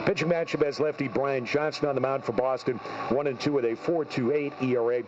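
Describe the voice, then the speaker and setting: A man's voice: mid-range voice, fairly even intonation, a little fast. A man hosting a radio post-game sports show, reading recaps and sponsor messages in broadcast style. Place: radio studio. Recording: dull radio broadcast, clearly noisy.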